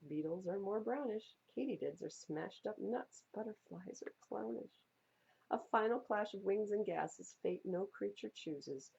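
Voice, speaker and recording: Husky woman reading a children's storybook. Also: medium pitch, dark tone, dull audio. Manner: playful, theatrical, stresses and repeats words for young listeners. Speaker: library storytime reader. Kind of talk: expressive read-aloud of children's picture books.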